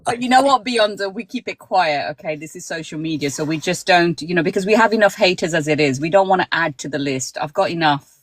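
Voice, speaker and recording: A woman's voice: fairly low pitch, brisk, hardly any pauses, no echo. Chatty Englishwoman. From England, near Birmingham. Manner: chatty, laughs often, uses British slang. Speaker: guest on a live stream. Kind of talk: casual chat.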